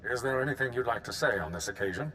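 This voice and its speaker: A man's voice: medium pitch, lively intonation, very fast, bright, forceful tone, a little hiss or hum. A man playing a commanding, gracious military general in a video game, formal and authoritative. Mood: formal, approving. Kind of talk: video game cutscene acting.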